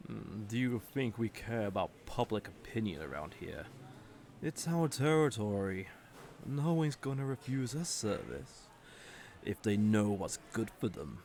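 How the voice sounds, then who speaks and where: An actor playing a haughty, sardonic villain commander in a roleplay audio drama, condescending and drawling, with mock-sweet menace. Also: male voice, on the low side, gravelly, darkish tone, slight background noise, exaggerated voice. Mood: mocking, menacing.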